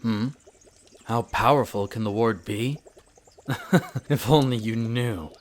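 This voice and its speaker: A man's voice: mid-range voice, close to an everyday voice. A man playing a proud, prickly winter elf archaeologist who talks formally and haughtily in a scripted audio roleplay addressed to the listener. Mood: frustrated. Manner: haughty, formal, exasperated, theatrical pauses.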